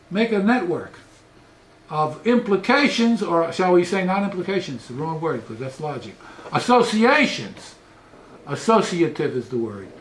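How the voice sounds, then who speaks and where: An older man, a professor, gives an animated, lecture-style philosophical talk into a headset mic in a small study, often trailing off into quieter asides. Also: high voice, reverberant, a little hiss or hum, low-quality recording. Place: small study with bookshelves, indoors.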